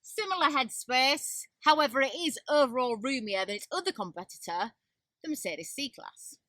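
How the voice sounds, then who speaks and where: A young woman with a Yorkshire accent talks through a car review from the driver's seat, chatty and full of opinions. Her voice sits a little high and rings bright and forceful. She sounds lively on camera. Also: normal speed, dry recording, far from the mic. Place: inside a car, driving.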